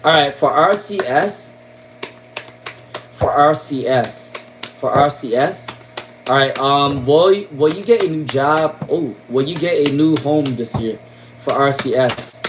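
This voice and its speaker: Chatty Long Island man with a high voice. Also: slow pace, a little hiss or hum, rough recording. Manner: repeats names and questions, ends lines with "okay?" and "all right?"; casual, chatty, lots of "um". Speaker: tarot reader. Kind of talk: live-stream tarot reading.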